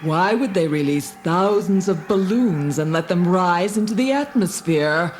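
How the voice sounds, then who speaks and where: A woman's voice: lowish voice, expressive, darkish tone. A woman playing a cruel, arrogant alien commander in a dramatised storybook, imperious and scornful. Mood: incredulous. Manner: imperious, gloating, deliberate. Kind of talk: dramatised storybook audio acting. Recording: music behind the voice.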